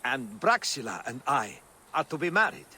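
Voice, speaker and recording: An actor playing an earnest, eager magistrate of an ancient city in a video game. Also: male voice, mid-range voice, sing-song, moderate pace, sharp tone, breathy edge, full character voice. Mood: happy, proud. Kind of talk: video game cutscene acting.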